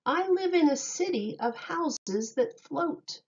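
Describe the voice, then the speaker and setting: A woman reading a rhyming picture book aloud in an expressive, sing-song way meant for young children. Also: high-pitched, slow, muffled recording.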